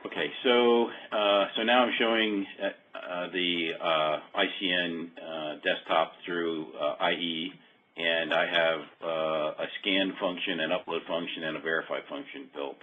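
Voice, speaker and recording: Deep-voiced man on a phone-like line. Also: fairly even intonation, slight background noise. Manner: hesitant, halting, self-correcting explanation. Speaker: software demo presenter. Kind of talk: informal technical walkthrough on a web meeting. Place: remote web conference call. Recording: muffled phone-like conference line.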